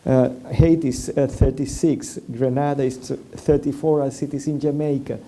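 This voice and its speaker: A man's voice: medium pitch, little pitch movement, moderate pace, warm tone. A man from Venezuela speaking on a public forum panel, hesitant, with many fillers and restarts; Spanish is his first language.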